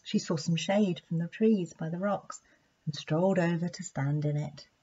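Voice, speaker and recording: A woman reading a children's story aloud in an expressive, deliberate storytelling manner. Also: fairly low pitch, slightly rough. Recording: dull lo-fi sound.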